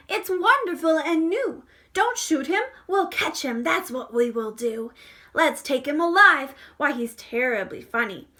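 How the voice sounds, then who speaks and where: Lady doing excited greedy hunters from a kids story, high voice, exclaiming and plotting. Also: exaggerated voice.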